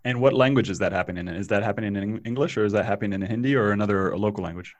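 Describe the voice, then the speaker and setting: A man's voice: lowish voice, racing, lo-fi sound. A man hosting an interview, asking questions and thinking aloud as he goes. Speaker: podcast host. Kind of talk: interview conversation.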